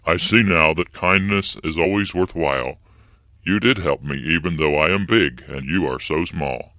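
A man voicing a huge, proud male lion who roars and booms. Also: normal speed, bright, forceful tone, no echo, clean studio sound. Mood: grateful. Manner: booming, roaring.

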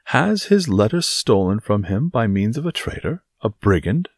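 A man's voice: lowish voice, very animated, normal speed, breathy edge, no echo, clean studio sound, voice pushed up, heavily acted. A man voicing a boastful, self-satisfied musketeer in an audiobook adventure story, exclaiming theatrically. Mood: indignant. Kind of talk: dramatised audiobook reading of a classic adventure novel.

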